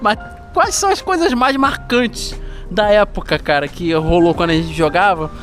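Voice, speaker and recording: Host type: Brazilian guy, upbeat, talks to camera, highish pitch, faint music underneath. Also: normal speed, fairly bright tone. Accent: Brazilian Portuguese. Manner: upbeat, addresses viewers directly. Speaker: channel host. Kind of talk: casual chat with a friend. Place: stairwell of an apartment building.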